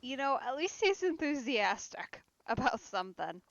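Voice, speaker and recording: A woman's voice: high voice, very animated, normal speed, vocal fry, dull audio. A woman giving casual commentary as she plays through a game as a streamer. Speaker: let's play streamer.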